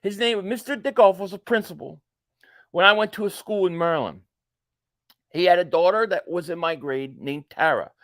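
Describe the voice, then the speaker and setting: High-voiced chatty man in his forties. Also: moderate pace, fairly bright tone, dry recording. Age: 40s. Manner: rambling, chatty, jokey asides. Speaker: live stream host reacting to a football game. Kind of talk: casual live stream chat, reading viewer comments aloud.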